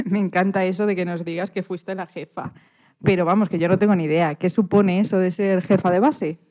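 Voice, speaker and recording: A woman's voice: fairly low pitch, steady tone, brisk, mellow. A woman from conducting a casual radio interview in an informal, encouraging way.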